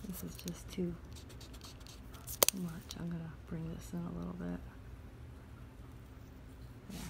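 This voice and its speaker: A woman calmly talking through a step-by-step drawing lesson as she sketches at a table. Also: on the low side, gravelly, heavy background noise. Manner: calm, unhurried, talks while drawing. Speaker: drawing instructor. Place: at a table indoors.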